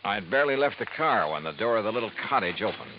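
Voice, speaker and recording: An actor playing a wry hard-boiled insurance investigator. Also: male voice, fairly low pitch, expressive, quick pace, a little hiss or hum, close to an everyday voice. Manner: easygoing, wry first-person narration. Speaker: narrator and lead. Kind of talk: 1950s radio drama acting. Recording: dull old radio recording with music.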